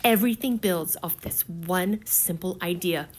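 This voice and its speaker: Eager female ad pitcher, comedy drama: slow, declamatory, salesy. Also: darkish tone. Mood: grand, eager. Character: grandiose, eager advertising executive woman pitching.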